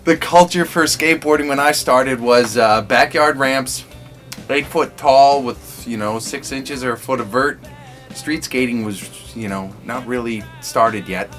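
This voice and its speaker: A man's voice: medium pitch, a little slow, fairly bright tone. A man reminiscing conversationally in an interview, in short broken phrases. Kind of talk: casual reminiscing in a documentary interview. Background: instrumental music.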